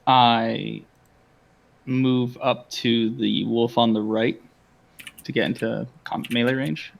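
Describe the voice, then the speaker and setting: A man's voice: medium pitch, flat delivery, takes it slowly, creaky. A man chatting casually as a player in a tabletop role-playing game. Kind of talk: casual chat.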